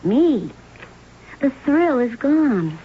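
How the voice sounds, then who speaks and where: A woman's voice: high-pitched, very animated, extremely slow, full character voice. A woman playing a scornful femme fatale blackmailer in a radio drama, with an American accent and a pointed, taunting manner. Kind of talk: 1970s radio drama acting. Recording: muffled old radio recording, faint noise.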